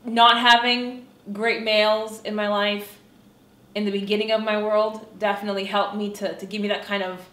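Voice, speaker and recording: A woman's voice: medium pitch, little pitch movement, normal speed, a bit bright, reverberant. A woman who coaches women in empowerment, speaking earnestly and reflectively in a documentary interview and building emphasis through repetition.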